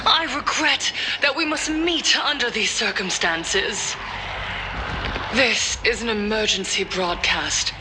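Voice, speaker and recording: An actress playing a formal television broadcaster in a video game, giving a poised announcement over music. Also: female voice, fairly high pitch, slow, noisy background. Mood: solemn.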